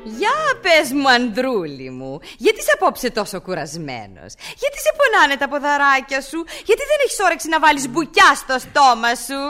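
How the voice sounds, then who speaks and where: A woman's voice: high-pitched, expressive, sharp tone, faint music behind. An actress playing a jealous, domineering rich wife who mocks and scolds her husband in a radio drama. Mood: mocking. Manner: scolding, mocking, commanding.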